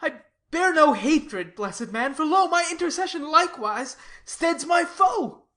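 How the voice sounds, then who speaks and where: A woman's voice: high-pitched, lively intonation, measured pace, fairly bright tone. A woman playing an eager, lovestruck young man in a classical verse drama, speaking with ardent, earnest expression. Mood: playful, excited.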